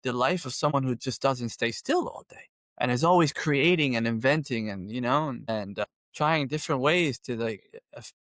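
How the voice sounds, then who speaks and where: A man's voice: mid-range voice, moderate pace, fairly bright tone, no echo, studio quality. A man, telling rambling stories as a podcast guest and often saying "you know.". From Philadelphia, USA. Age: 50s. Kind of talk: casual conversational storytelling.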